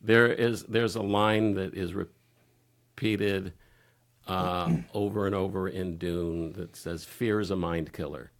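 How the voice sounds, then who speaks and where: A man speaking candidly as a podcast guest, halting and searching for his words. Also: sits low, fairly even intonation. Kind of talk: candid personal conversation.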